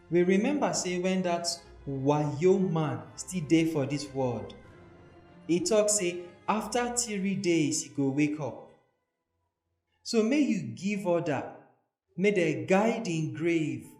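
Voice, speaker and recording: A man's voice: a little high, expressive, takes it slowly, darkish tone, voice pushed up. A Nigerian man voicing an agitated priest in a dramatized scripture story, urgent and insistent. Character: agitated male priests pleading urgently with a governor. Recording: echoey voice recording with music.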